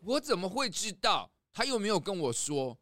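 A woman's voice: medium pitch, very animated, normal speed, a bit bright, dry recording, soft background music, voice pushed down. A woman voicing a grumpy, defensive talking pair of pliers in a children's audio drama.

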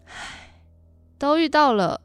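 A woman's voice: high voice, lively intonation, slow, no echo, studio quality, soft background music. A woman acting a caring, dutiful aunt in a radio drama, earnest and persuading. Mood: concerned, persuading. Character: concerned, caring adult aunt, sighing but dutiful.

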